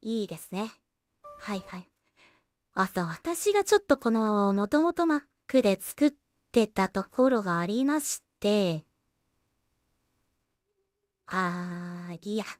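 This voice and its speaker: A woman's voice: medium pitch, animated, slow, long pauses, no room sound. A woman giving casual work-along commentary as a solo creator, half talking to herself in short, trailing remarks. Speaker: solo animation creator and streamer.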